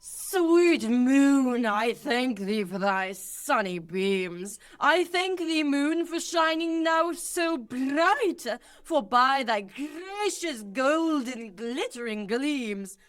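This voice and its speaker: A young woman playing a melodramatic young male lover in a radio play of Shakespeare, declaiming in an overwrought, drawn-out way. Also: high-pitched, lively intonation, full character voice. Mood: grateful, grand. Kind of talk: 1940s radio play acting of Shakespeare verse.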